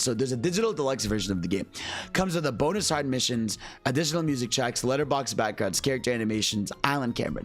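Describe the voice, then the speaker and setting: A man giving casual, excited video commentary in a slangy, rambling, gushing fan style over music. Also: medium pitch. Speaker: gaming video creator.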